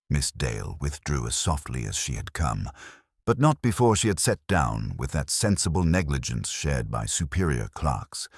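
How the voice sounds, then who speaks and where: A man reading a scripted literary mystery as narrator, in a measured, formal storytelling manner. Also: a bass voice, husky, no room sound, clean studio sound.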